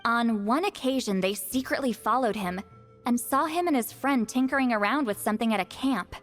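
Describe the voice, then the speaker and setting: Casting: seasoned female mystic for a game cutscene, played by a woman; fairly high voice, dry and in charge, music underneath. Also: brisk, lightly acted. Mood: resigned, practical. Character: seasoned, capable female spirit expert, dry and bossy. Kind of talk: video game cutscene dialogue. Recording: music behind the voice. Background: background music.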